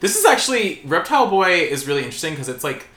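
A man co-hosting a podcast in casual chat, animated and laughing often, swearing freely. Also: on the high side, fast talker. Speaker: podcast co-host. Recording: echoey room with faint noise.

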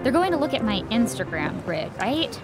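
A woman's voice: fairly high pitch, sing-song, normal speed, loud music behind the voice. A woman playing a naive, self-deprecating young small-town newcomer in an audio drama monologue. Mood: nervous, self-mocking. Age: young adult.

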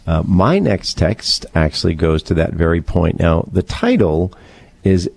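A man co-hosting a conversational book discussion, explaining earnestly in a halting, deliberate way. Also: low voice, sing-song, vocal fry, a little mellow, breathy edge. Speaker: co-host presenting book recommendations.

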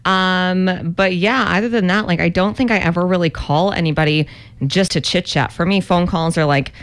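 A woman's voice: fairly low pitch, normal speed. A woman co-hosting casual radio banter, wry and self-deprecating, dropping little acted-out quotes into her chat. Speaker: radio co-host.

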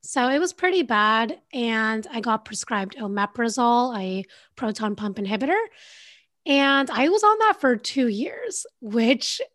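A woman's voice: a little high, animated, a bit bright. A woman in her twenties, a guest on a podcast, telling her story in a chatty way full of fillers. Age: 20s. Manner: chatty storytelling, lots of "like", quick bursts. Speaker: podcast guest, health coach. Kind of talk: casual interview chat.

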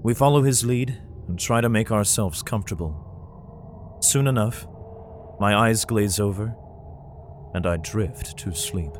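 A man's voice: fairly low pitch, a little slow, long pauses, slight background noise, close to an everyday voice. An actor playing a grim, protective father in a science fiction audio drama, somber and guarded as he tells his story. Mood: somber narration. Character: grim, protective father fleeing alien invasion with his children. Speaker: narrator and lead character. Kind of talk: dramatic first-person audio drama narration. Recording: music under the voice. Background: dramatic music.

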